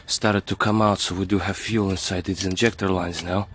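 A man's voice: deep voice, little pitch movement, normal speed, noisy background. A man working on a car engine outdoors, explaining each step in a casual repair walkthrough and muttering to himself as he goes. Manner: talks to himself while working, short muttered remarks. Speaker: home mechanic. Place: outdoors at a car's engine bay.

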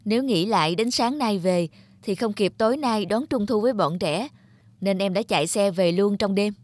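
A man playing an earnest, devoted middle-aged father in an animated moral tale, speaking in a measured way. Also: high-pitched, dry recording, studio quality. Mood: earnest, caring. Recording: music under the voice.

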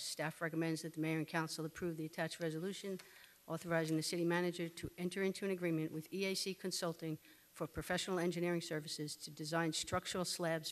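Man, city staff, staff report, somewhat high voice, measured formal reading, council chamber. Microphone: desk microphone.

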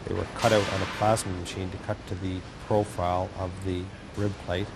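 A man's voice: deep voice, little pitch movement, brisk, very noisy. A man in an interview carefully describing steel fabrication, with some fillers.

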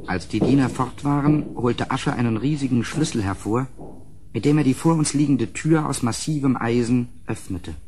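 An actor playing an uneasy gentleman who narrates a gothic radio play in a measured, ominous, slightly husky voice. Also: medium pitch, slight background noise, natural voice. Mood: somber, ominous.

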